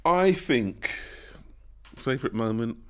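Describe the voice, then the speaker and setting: A man's voice: mid-range voice, slow pace. A British man co-hosting a podcast in a studio, telling travel stories in casual chat and acting out quoted lines. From Britain. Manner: animated storytelling, acts out quoted lines. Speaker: podcast co-host.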